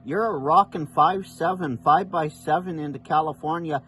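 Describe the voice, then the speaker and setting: A man's voice: on the high side, moderate pace, fairly bright tone. A man working as a ham radio operator, making contact calls and repeating key details for emphasis. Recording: faint background noise.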